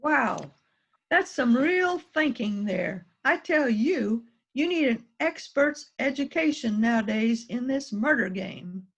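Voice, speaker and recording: A woman's voice: fairly high pitch, animated, slow pace, slightly rough, heavily acted. A woman playing a small, innocent-sounding murder suspect in an amateur mystery reading over dull call audio. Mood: caught, baffled. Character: small, guileless-sounding female murder suspect spinning an alibi. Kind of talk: amateur readers theater mystery.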